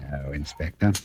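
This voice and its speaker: An actor in a radio thriller playing a suave, cunning gentleman, coolly menacing, with measured, formal, ironically polite speech. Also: rumbling low, heavily acted. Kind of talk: 1940s radio thriller acting. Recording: noisy old radio drama recording.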